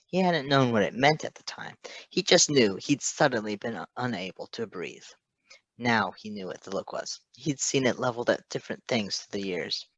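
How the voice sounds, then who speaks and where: A man with a mid-pitched voice narrates a story expressively. The recording is close and dry, a little muffled on top. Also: a bit bright, no room sound. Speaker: fan fiction reader.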